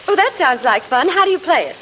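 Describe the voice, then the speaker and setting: An American woman playing a gracious, tactful schoolteacher, kindly and gently playful, in a 1940s radio comedy. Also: sits high, big pitch swings, fast. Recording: old radio recording, faint hiss.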